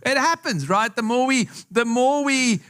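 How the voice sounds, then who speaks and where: A man's voice: very high voice, a little slow, fairly bright tone, clean tone. A South African man with an accent that has picked up some American, preaching a conversational sermon to a congregation, asking them questions and repeating phrases for emphasis. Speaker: pastor. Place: church hall with a live congregation.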